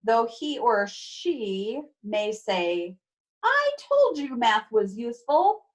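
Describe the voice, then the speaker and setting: A woman teacher reading a children's book aloud over a video call, expressive and instructive, with playful emphasis and casual asides to pupils. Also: on the high side, takes it slowly. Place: remote video class.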